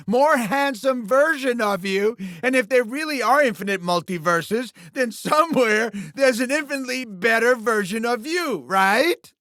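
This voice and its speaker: Casting: greedy, smarmy alien studio boss, game villain. High, piercing voice, bombastic showbiz delivery, condescending. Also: male voice, pushed and bright, no room sound, exaggerated voice. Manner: fast-talking showbiz patter, theatrical, condescending. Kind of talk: exaggerated video game villain acting.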